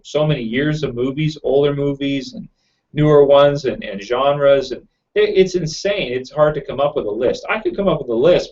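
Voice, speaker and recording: A man talks fast and loosely from home, trailing into fillers as he answers viewers' questions. His voice sits at an average pitch and sounds a little raw. The audio is dull and lo-fi, like a home computer mic on a live stream. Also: darkish tone. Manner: fast-talking, rambling with many fillers. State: slightly raw throat. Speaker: YouTube channel host.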